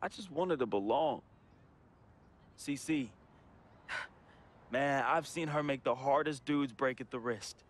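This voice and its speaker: A man's voice: medium pitch, takes it slowly, pauses often, close to an everyday voice. An actor playing a troubled young man in a game, reading a confessional farewell letter as a heartfelt voice-over with casual slang. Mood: somber. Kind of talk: emotional voice-over monologue. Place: studio voice-over.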